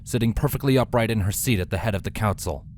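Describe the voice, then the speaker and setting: A man reading a fantasy story as narrator in a scripted, dramatic style with deliberate pauses. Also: lowish voice, a little mellow, slight background noise. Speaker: fan fiction narrator. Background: faint background music.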